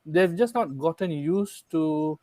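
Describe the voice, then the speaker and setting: A man talks through his points in an earnest, explanatory way, often checking in with his listeners. His voice sits a bit high. The recording is dry and close. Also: slow pace, darkish tone, no echo. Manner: earnest, explanatory, frequent "right?" tag questions. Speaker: guest speaker. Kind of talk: conversational podcast discussion.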